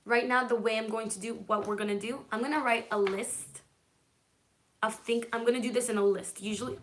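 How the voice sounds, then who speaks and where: A chatty woman from California, a native Hebrew speaker, hosting a relaxed live stream. She answers viewer comments in a reassuring tone and keeps checking in with her audience. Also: fairly high pitch, brisk, a little hiss or hum. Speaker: livestream host.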